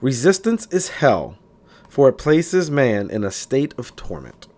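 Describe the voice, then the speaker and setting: A man narrating a self-help book audiobook-style, in a deliberate, declarative way, sometimes stumbling over words. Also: medium pitch, big pitch swings, a little mellow.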